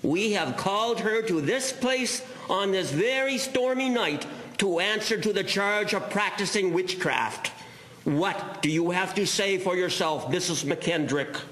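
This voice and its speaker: Man as blustering pompous villager, very high voice, echoey room. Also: lively intonation, unhurried, a bit bright. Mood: flustered, pompous. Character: blustering, indignant Irish villager leading a meeting. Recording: echoey room with faint noise.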